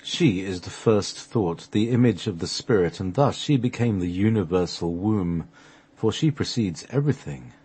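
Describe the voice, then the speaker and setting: Deep, husky man reading scripted narration. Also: animated, a little mellow. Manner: halting, stumbles over the script and restarts. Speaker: host and narrator of a radio play. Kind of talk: scripted narration of a sacred text.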